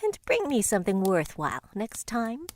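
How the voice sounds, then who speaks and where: A woman's voice: a little high, huge pitch swings, normal speed. A woman playing a fond, motherly old friend in a visual novel, wry and affectionate in manner.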